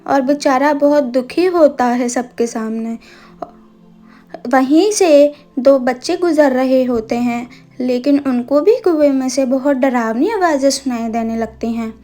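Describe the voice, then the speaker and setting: A woman narrates a scripted cartoon story. Her voice is high and she takes it very slowly, line by line. Soft music plays faintly underneath.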